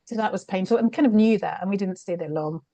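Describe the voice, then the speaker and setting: A middle-aged woman with a British accent talks through her own stories in a candid, reflective way, often breaking into a laugh. Her voice sits at a middle pitch and is recorded close and dry, with no room sound. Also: quick pace, dark tone, no echo. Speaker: guest, change consultant. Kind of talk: candid conversational storytelling.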